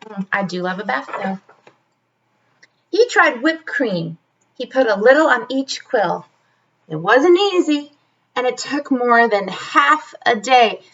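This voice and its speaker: A woman reads a children's story in a high, bright voice. She goes slowly and expressively, stopping for chatty asides to the children. The recording is slightly dull. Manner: expressive, talks directly to young listeners. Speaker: teacher reading a picture book aloud.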